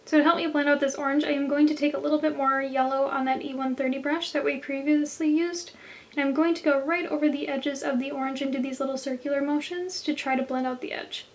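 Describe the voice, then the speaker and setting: A woman with a hoarse, slightly nasal voice, chatting through a makeup tutorial for her viewers. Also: sits high, flat delivery, fast talker. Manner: chatty, talks straight to viewers. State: hoarse from a cold. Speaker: beauty vlogger.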